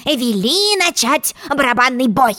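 A woman's voice: high voice, sing-song, unhurried, pushed and bright, voice pushed up, full character voice. A woman voicing a blustering, scatterbrained king in a storybook, with pompous exclamations. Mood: proclaiming.

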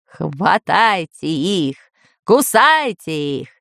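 A woman voicing a booming, grandiose masked villain who rules an island, with imperious, drawn-out speech, in a children's storybook. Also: lively intonation, a bit bright, no room sound, studio quality, exaggerated voice. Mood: furious. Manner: thundering, drawn-out, imperious delivery. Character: booming masked villain, self-proclaimed lord of dinosaur island, grandiose, menacing.

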